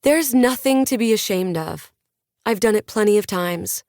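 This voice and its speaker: A bright, preachy influencer woman works a crowd with lifted, eager delivery, pitched well above the narrator's own voice, as a woman narrator puts her on. The sound is close and dry, like an audiobook booth. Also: lively intonation, moderate pace, dry recording, lightly acted. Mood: inspirational. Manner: projecting, preachy and peppy. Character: upbeat woman influencer giving a motivational talk.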